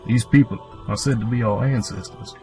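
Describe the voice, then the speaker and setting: A man's voice: mid-range voice, fairly even intonation, fairly quick, over music, close to an everyday voice. A man playing a cryptic old cave hermit and mechanic in an audio drama, with a solemn, old-fashioned storyteller's delivery. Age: older. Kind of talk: fan audio drama acting.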